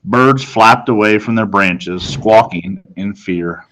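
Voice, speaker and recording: A man narrating a children's novel as a reader, in a measured, suspenseful storytelling manner. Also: lowish voice, fairly bright tone, poor audio.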